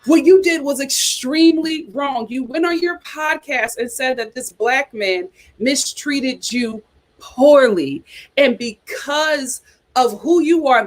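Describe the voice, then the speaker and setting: A woman hosting a casual chat, giving sassy, animated commentary with slang and answering live chat comments. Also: high voice. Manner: uses casual slang like "child" and "y'all". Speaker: host.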